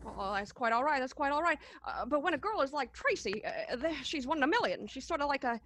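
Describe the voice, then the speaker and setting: A woman's voice: sits high, lively intonation, quick pace, a bit bright. A woman playing a drunk, romantic male writer in a radio play, stammering, hiccuping and repeating words. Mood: earnest, drunk. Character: tipsy, rambling, romantic young male writer.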